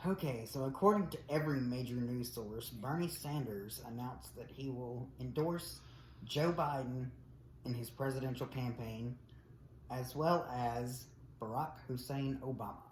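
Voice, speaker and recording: A man's voice: medium pitch, a little slow. Matter-of-fact male co-host, plain mid voice, blunt delivery; echoey room with faint hiss. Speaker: podcast co-host. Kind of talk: casual podcast chat, reading news figures. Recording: roomy echo with faint noise.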